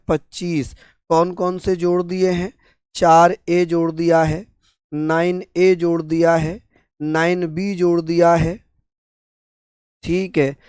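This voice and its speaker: A man with a sore, hoarse throat teaching an exam-prep class, repeating each term and answering his own questions. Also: a little high, darkish tone, dry recording, studio quality. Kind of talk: online exam-prep lecture.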